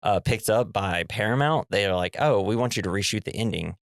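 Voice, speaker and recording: A man's voice: fairly low pitch, a little fast, gravelly, no echo, clean studio sound. A young man co-hosting a casual movie podcast, sounding nasal from a cold, rambling and laughing as he acts out the scares. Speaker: podcast co-host.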